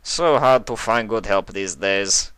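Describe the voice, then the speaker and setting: A suave storybook head waiter pony, put on by a man. He is formal and deferential, with a bright tone and an obliging, urbane polish. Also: fairly low pitch, unhurried, smooth, no echo, rough recording.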